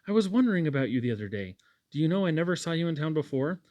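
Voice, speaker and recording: A man's voice: on the high side, very animated, very fast, a little mellow, lo-fi sound, voice pushed up. Man reading as an eager, sweet young woman. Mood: eager, concerned. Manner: gushing, girlish exclamations.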